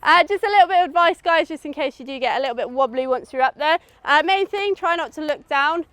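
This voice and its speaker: A woman's voice: high voice, no echo. A woman with a British accent giving an informal, instructive safety briefing to a group, often checking they follow. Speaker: outdoor adventure course safety instructor.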